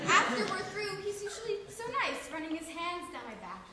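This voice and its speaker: A woman's voice: very high voice, big pitch swings, moderate pace, over a noisy crowd. A woman performing a comic monologue as a flustered young woman who rambles, stumbles over her words and laughs at herself. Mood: flustered rambling. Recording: very echoey room.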